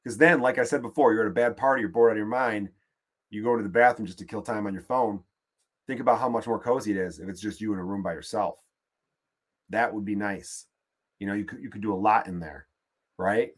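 A man with a Chicago accent rants through a comic podcast monologue, swearing freely and circling back on his own sentences. His voice sits a bit low, and he sounds fed up, loose and chatty. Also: fairly even intonation, brisk, slightly rough. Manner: profane, exasperated, rambling. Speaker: podcast host. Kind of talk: comedic monologue rant.

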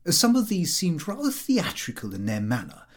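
A man's voice: on the high side, wildly swooping pitch, normal speed. A man reading a comic diary as scripted narration, in a prim, fussy, precise manner. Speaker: audiobook reader.

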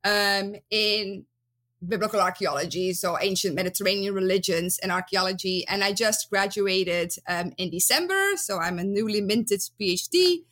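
Woman, Dutch accent, from Belgium, bright tone, casual guest talk, filler-heavy. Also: mid-range voice, normal speed. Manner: chatty, many ums, laughs easily. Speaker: guest archaeologist. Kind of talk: casual interview chat.

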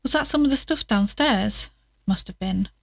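A plucky, curious female investigator played by a woman narrates her search in an audio drama. She talks chattily, with wry asides, at a middling pitch. Faint noisy ambience sits behind her. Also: lively intonation, normal speed, creaky. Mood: worried.

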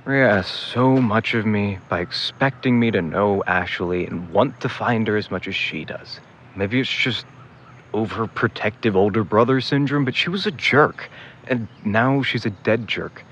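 A man's voice: fairly low pitch, measured pace, a bit bright, a little hiss or hum. A man playing an irritable, sarcastic young older brother in a naturalistic audio drama. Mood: grumbling, conflicted. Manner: sarcastic, grumbling.

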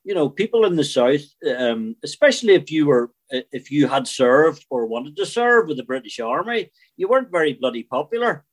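A man's voice: a little high, big pitch swings, moderate pace, few pauses. Northern Irish man in his sixties, speaking candidly. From Northern Ireland. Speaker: interview guest. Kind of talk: candid personal conversation.

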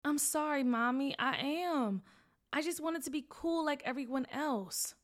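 Storybook role: woman reading as a young boy, sheepish, hesitant, high-voiced, apologetic. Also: unhurried, fairly bright tone. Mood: remorseful. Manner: hesitant, pleading.

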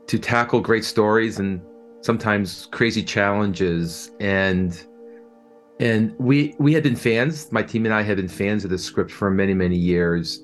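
Man, lowish voice, conversational producer interview, halting with repeated words, enthusiastic, music underneath. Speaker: film and audio drama producer being interviewed.

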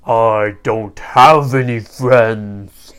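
Tiny evil male sorcerer, played by a woman, low-pitched, drawn-out, pompous, gloating, menacing, children's story villain. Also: steady tone, slight background noise, exaggerated voice. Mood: gloating menace.

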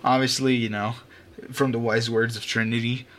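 A man's voice: mid-range voice, little pitch movement, moderate pace, fairly bright tone. Rambling young man hosting a podcast. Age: young adult. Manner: loose, rambling, laughs often, swears. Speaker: podcast host. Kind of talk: casual chat. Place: home room with a fan running. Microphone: home mic over a voice call.

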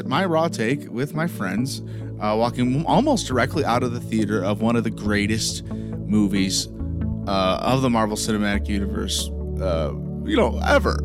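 A man with a raspy voice hosts a podcast intro, rambling with lots of ums and uhs. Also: on the low side. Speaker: podcast host.

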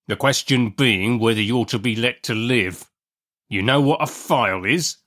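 A man's voice: medium pitch, unhurried, a bit bright. A man voicing a menacing, bullying convict with uneducated dialect speech in an audiobook. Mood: threatening. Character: menacing, rough convict man threatening a child. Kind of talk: audiobook character acting.

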